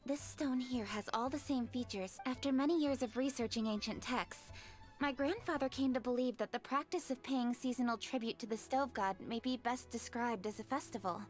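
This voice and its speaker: A woman's voice: on the high side, normal speed, natural voice. A woman playing a poised, scholarly young woman in a video game, speaking articulately and earnestly. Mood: earnest explaining. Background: music.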